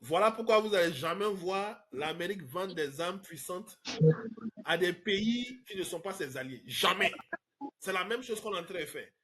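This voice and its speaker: A man's voice: high voice, animated, normal speed, bright, forceful tone, breathy edge, rough recording. A man from Côte d'Ivoire, hosting a live online question-and-answer talk in an emphatic way. He repeats words and drops English fillers. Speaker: trading course host answering questions.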